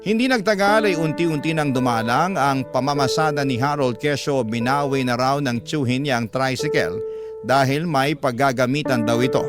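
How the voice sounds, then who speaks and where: A man with a Filipino accent reading dramatic scripted first-person narration as a radio-style storyteller. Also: mid-range voice. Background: loud music.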